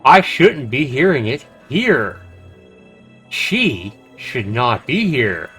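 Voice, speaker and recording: Expressive male game streamer, music behind. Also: medium pitch, slow pace. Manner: dramatic reading with expressive, sweary reactions. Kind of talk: livestream let's play, reading game text aloud with commentary.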